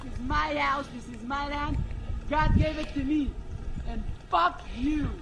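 Furious man yelling in broken English. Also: very high-pitched, fairly even intonation, a little slow, vocal fry, background noise. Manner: shouting angrily, broken English, swearing. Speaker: settler confronting activists.

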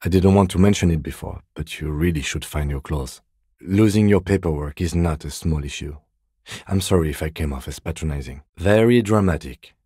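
A man playing a composed, meticulous police lieutenant detective in a video game, speaking in a precise, deadpan, politely reserved way. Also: extremely low pitch, breathy edge, no echo, studio quality. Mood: politely disapproving. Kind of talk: video game character dialogue.

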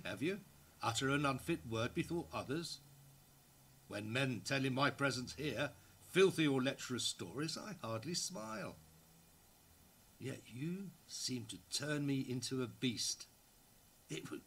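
A man's voice: lowish voice, a bit bright, slight background noise. An actor in his sixties giving a dramatic reading as an ardent, guilt-ridden male writer addressing his lover, in a live room. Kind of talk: dramatic reading of a literary letter. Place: indoor library room, seated before an audience.

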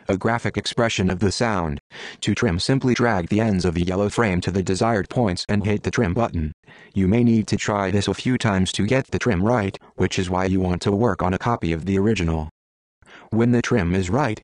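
A man giving a methodical, step-by-step software tutorial as a voice-over. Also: lowish voice, no room sound. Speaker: instructor. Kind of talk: screen-recorded software tutorial.

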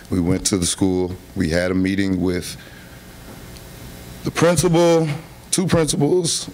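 A man giving public comment as a parent at a board meeting, speaking in a halting, earnest, polite way. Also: low voice, a little hiss or hum. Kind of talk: unscripted public address to a board. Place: public meeting room, indoors.

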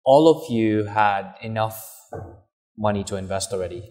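A young man with a Filipino English accent hosting an interview, asking questions briskly and upbeat on a lapel mic. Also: fairly low pitch, a little mellow. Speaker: interview host. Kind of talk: casual interview.

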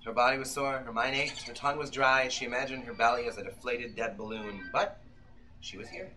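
A middle-aged man giving a tense, deliberate dramatic reading of fiction, like an old-time radio play. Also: mid-range voice, fairly even intonation, noisy background. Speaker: author reading from his own novel. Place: small bookshop with seated audience, indoors. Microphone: audience member's camera from a few metres. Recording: music behind, live room camera recording. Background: violin accompaniment.

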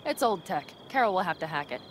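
An actress playing a headstrong young nomad woman in a video game, blunt, brisk and commanding, leading her crew. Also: on the low side, big pitch swings, a little hiss or hum. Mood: calm, purposeful. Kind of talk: video game cutscene acting.

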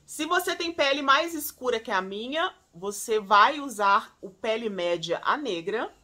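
Woman, 40s, home beauty vlogger, high crisp voice, chatty, talks to camera at close range. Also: measured pace. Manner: animated, chatty, often addresses viewers as "gente". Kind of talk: casual product recommendations to camera. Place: home room, indoors.

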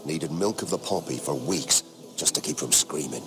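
An actor playing a courteous, wary middle-aged lord in a fantasy game, confiding gossip discreetly. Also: male voice, very deep voice, expressive, normal speed, music in the background. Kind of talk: dramatic fantasy game acting.

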